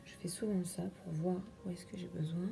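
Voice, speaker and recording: A woman's voice: fairly low pitch, fairly even intonation, normal speed, gravelly, music in the background. A young woman chatting through a makeup tutorial, explaining each step as she works. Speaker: beauty vlogger.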